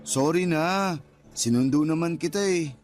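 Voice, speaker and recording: An actor playing a defensive, teasing, flippant young boyfriend with a Filipino accent in a radio drama, whining out excuses. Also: male voice, a little high, unhurried, darkish tone, lightly acted. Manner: whiny, flippant excuse-making. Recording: background music under the voice.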